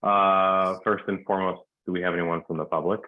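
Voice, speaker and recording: A man chairing a municipal arts commission meeting, running roll call in a relaxed, informal way with plenty of fillers. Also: deep voice, fairly quick, no echo. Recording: dry tinny phone-like line.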